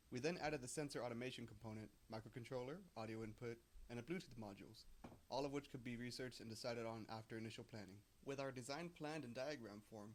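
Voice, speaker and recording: Young male engineering student, mid-range voice, rapid scripted technical presenting. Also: mid-range voice. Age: young adult.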